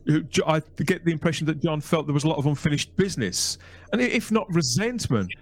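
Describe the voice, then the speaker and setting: Guy hosting a podcast, voice a bit high, wordy chatty questions, repeats himself a bit. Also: lively intonation, brisk. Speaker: podcast host. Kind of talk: conversational interview with guests.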